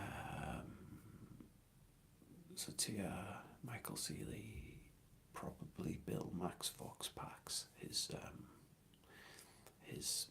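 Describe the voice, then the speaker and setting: A man whispering an ASMR question-and-answer ramble in a soft, hesitant and thoughtful way. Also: deep voice, creaky.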